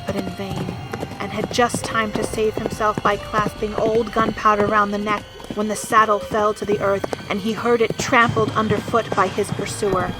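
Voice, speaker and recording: Female narrator for an audio drama, mid-pitched, theatrical storyteller with wide dynamics, clear music underneath. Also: low-quality recording. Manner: theatrical, swelling from hushed to loud.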